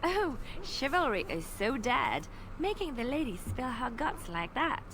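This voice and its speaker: A woman playing a gentle, bashful bard adventurer in a fantasy video game, earnest, hesitant and playful. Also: sits high, very animated, measured pace. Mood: flustered, teasing. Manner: soft-hearted, hesitant, earnest. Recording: clearly noisy game playback.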